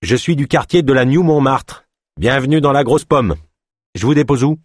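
A boisterous water-taxi boatman from an audiobook, acted out by a man. He calls out loudly and heartily in a raised, husky voice, close and dry on the recording. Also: medium pitch, moderate pace, no echo, voice pushed up, close to an everyday voice. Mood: hearty, welcoming. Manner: loud, exclamatory, calling out. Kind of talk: audiobook character acting.

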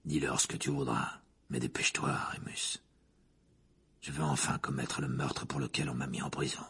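A man voicing a gaunt, fierce escaped prisoner in a low, vengeful growl. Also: level tone, normal speed, a bit bright, breathy edge, heavily acted. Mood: grim, vengeful.

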